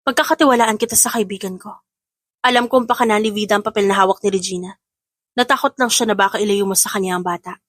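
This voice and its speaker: A woman's voice: mid-range voice, steady tone, fast talker, a bit bright, no room sound, studio quality, natural voice. A woman playing a protective, frank best friend in a Tagalog audio drama. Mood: stern, protective. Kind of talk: scripted audio drama acting.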